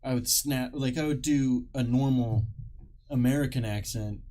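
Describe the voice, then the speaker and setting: A man's voice: medium pitch, normal speed, warm tone. A man on a podcast chatting casually, swearing often and laughing a lot. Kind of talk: casual chat.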